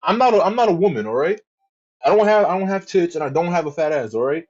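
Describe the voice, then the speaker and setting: A male streamer talking off the cuff to his chat, swearing freely and tripping over his own words as he loops back on phrases. His voice sits a little higher than most men's. The stream audio is dull and lo-fi. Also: expressive, fast. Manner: rambling, profane, repeats himself. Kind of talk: casual livestream chat.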